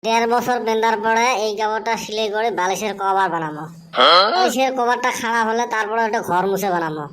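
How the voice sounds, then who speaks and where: A man voicing a cheeky, stubborn, thrifty young village lad in a cartoon comedy, with a pitch-raised voice and a northern Bengal and western Assam accent. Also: a little fast, fairly bright tone. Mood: stubborn, matter-of-fact. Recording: clear background noise.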